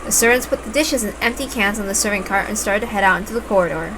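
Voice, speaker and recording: A woman narrating a visual novel aloud in an expressive storytelling style. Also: medium pitch, quick pace, noisy background. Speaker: reader, narrator.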